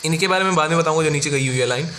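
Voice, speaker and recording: Bright, higher-pitched Indian male tutor. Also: very fast. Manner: informal, instructional, frequent check-words like "right" and "okay". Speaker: engineering tutor. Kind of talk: exam-focused lecture explaining block diagrams. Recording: roomy echo with faint background noise.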